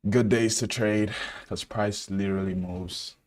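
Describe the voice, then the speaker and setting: A young man from Ghana with a deep, low voice talks through a live trading stream in a relaxed, casual way. He fills pauses with "like" and "um" and answers viewers' chat questions as they come in. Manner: relaxed, laid-back, many fillers, reads out chat questions. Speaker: day trader streaming live.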